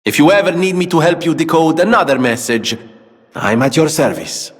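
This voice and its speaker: An actor playing a flamboyant, vain but kind-hearted inventor in a video game, with a theatrical, grandiose way of talking. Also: male voice, a little high, animated, moderate pace, slightly rough, big-room sound. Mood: playful, eager. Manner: theatrical, grandiose, florid phrasing. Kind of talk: video game character acting.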